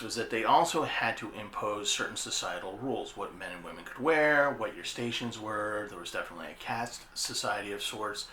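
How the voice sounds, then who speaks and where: A man's voice: on the low side, sharp tone. A man hosting an informal history explainer, talking conversationally and repeating phrases for emphasis. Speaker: host of an anime history show. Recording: roomy echo with faint background noise.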